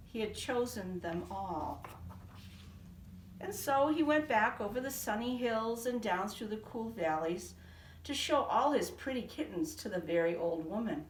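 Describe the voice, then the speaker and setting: A woman reading a children's picture book aloud in a sing-song, expressive way. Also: medium pitch. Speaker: storybook reader. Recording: roomy echo, from a distance.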